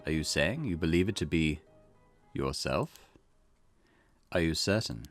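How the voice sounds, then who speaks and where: A cryptic, formal storyteller figure, read aloud by a man, speaking in a low voice that rises and falls widely. The delivery is measured and theatrical, with game music playing clearly behind him. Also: expressive. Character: cryptic, formal male storyteller guiding the player. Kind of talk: reading game dialogue aloud.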